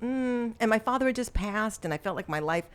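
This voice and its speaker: A woman's voice: on the high side, expressive, fairly quick, smooth. A middle-aged woman, a songwriter guest, chatting casually in an interview and telling stories with frequent laughs and restarts.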